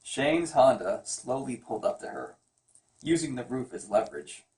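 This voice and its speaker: A man's voice: mid-range voice, animated, moderate pace, darkish tone, distant mic. Joking man reading aloud in a roomy echo. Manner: joking, teasing, stumbles while reading. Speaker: comic artist reading wife's novel aloud. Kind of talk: amateur read-aloud with joking asides. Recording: roomy echo, away from the mic.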